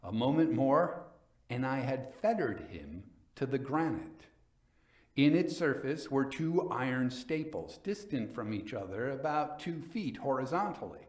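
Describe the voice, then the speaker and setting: Guy playing a cold vengeful nobleman telling a gothic horror story, theatrical, big swings in pitch, kinda echoey. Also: darkish tone. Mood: grim, suspenseful. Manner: theatrical, deliberate, emphatic. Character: coldly vengeful nobleman recounting his murder. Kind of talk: dramatic reading of a gothic tale.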